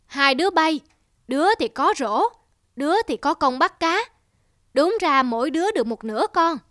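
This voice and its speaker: A woman's voice: high voice, expressive, normal speed, a bit bright, no room sound, studio quality. A woman doing a greedy blustering mandarin. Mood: stern. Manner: blustering, scolding. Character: greedy pompous middle-aged male mandarin.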